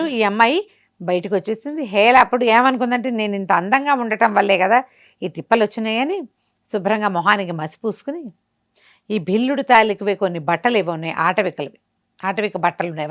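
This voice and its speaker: A woman telling a folk tale conversationally, often asking and answering her own questions. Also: mid-range voice. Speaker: storyteller. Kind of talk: spoken folk-tale storytelling.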